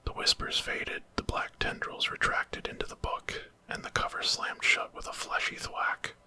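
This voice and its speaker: Whispering man, bright breathy hiss. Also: normal speed. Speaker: storyteller. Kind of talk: whispered ASMR horror fan fiction narration.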